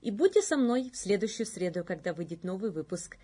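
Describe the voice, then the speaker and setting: A woman in her thirties presents a news bulletin, reading from a script. Her voice sits at a medium pitch for a woman. The recording is made indoors in a studio. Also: expressive, a little fast, dark tone, a little hiss or hum. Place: indoor studio, plain backdrop.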